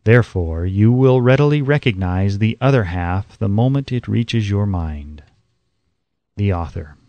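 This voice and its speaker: A man reading an audiobook as scripted narration, in a measured, emphatic way, close to the microphone. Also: fairly low pitch, lively intonation, mellow, no echo, lo-fi sound.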